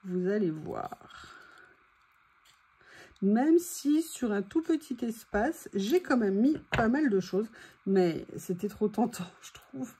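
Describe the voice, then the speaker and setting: A woman's voice: fairly high pitch, expressive, a little slow, mellow. A woman chatting casually and thinking aloud as she hosts a craft video, with a voice that is starting to give out. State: voice giving out, throat clearing. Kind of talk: casual chat while crafting. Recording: faint background noise.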